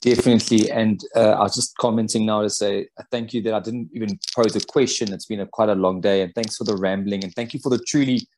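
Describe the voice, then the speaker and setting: A man's voice: lowish voice, fairly even intonation, fast talker, hardly any pauses, a little mellow, slight background noise, low-quality recording. A man from South Africa moderating a webinar over a video call, speaking in an easygoing, appreciative way. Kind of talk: casual conversational talk.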